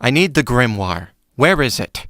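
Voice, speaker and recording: A man's voice: medium pitch, sing-song, moderate pace, a bit bright, no echo, studio quality. A man playing a long-lost, self-absorbed prince who is indignant and pleading, in a fantasy audio drama. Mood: impatient. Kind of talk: stage-style fantasy audio drama acting.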